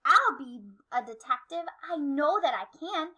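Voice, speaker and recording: A small, determined gingerbread man from a children's storybook, as played by a woman, chirps in sing-song rhyme. The voice is high and bright, with unhurried, cheerful pluck. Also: expressive, heavily acted. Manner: sing-song rhyming exclamations. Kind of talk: children's storybook read-aloud.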